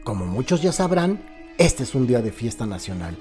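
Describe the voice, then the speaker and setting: A man's voice: medium pitch, very animated, moderate pace, mellow. A man with a Mexican accent narrates a crime radio serial as a dramatic scripted story, addressing listeners directly in a theatrical way. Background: background music.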